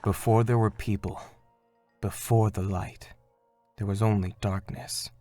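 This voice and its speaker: A man playing a calm, earnest mentor of a spiritual order in an animated fandub, speaking in a measured, solemn way. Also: fairly low pitch, faint music behind. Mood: solemn storytelling.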